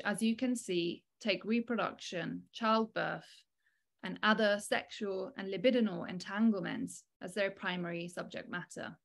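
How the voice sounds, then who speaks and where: Woman giving a scholarly lecture. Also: mid-range voice. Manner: measured, articulate, scholarly. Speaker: academic keynote speaker. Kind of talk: formal scripted academic lecture. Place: small indoor room, online video call. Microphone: video call microphone.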